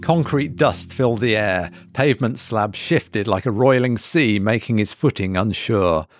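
A man reading a scripted horror story as a dramatic narrator, measured and deliberate with pauses for effect. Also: fairly low pitch, soft background music.

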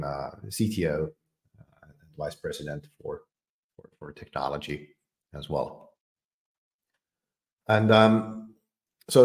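Low-voiced man in an echoey room. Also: a little mellow. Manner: hesitant, many fillers and repeated words. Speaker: podcast host. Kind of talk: casual technical discussion.

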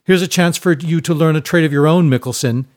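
A man's voice: medium pitch, moderate pace, a little mellow, close to an everyday voice. A man voicing a sneering, aristocratic schoolboy bully in a storybook, condescending and mocking.

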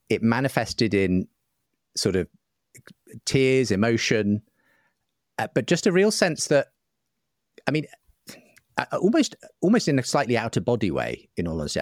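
A man's voice: fairly low pitch, no room sound, clean studio sound. A man speaking reflectively as an interview guest, hesitant and often qualifying himself as he searches for words. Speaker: interview guest, writer and broadcaster. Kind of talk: reflective personal conversation.

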